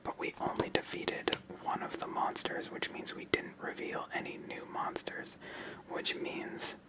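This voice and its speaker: A person whispering ASMR-style commentary as the host of a card game channel, in a soft, unvoiced manner. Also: unhurried.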